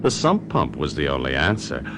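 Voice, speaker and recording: Scheming radio-drama husband: low, swooping delivery, coaxing, noisy background. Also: male voice, huge pitch swings, moderate pace, heavily acted. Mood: brooding, calculating. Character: smooth-talking scheming husband, coaxing, secretly murderous. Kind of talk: old-time radio mystery acting and narration.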